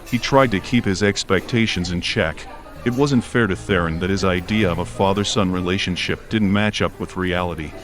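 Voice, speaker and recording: A man's voice: deep voice, moderate pace, a bit bright. A man reading scripted fiction as an audiobook narrator, telling the story in prose. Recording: faint music behind narration. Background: faint music.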